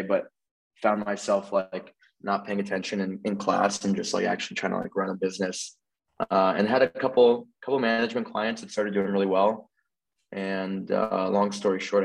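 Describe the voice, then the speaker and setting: A man's voice: deep voice, vocal fry, low-quality recording. A man in his twenties from Florida, chatting casually as a podcast guest over a video call and saying "like" a lot. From Tampa Bay area, Florida. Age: 20s. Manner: relaxed, peppers speech with "like". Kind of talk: casual interview chat.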